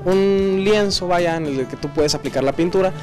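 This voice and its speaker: Halting Mexican man explaining, higher-pitched voice. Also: darkish tone, faint music behind. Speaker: auto body paint technician. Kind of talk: informal hands-on explanation.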